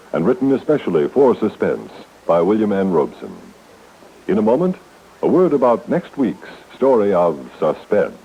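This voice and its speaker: Male radio announcer, low voice, animated delivery, scripted, prominent music behind, dull old recording.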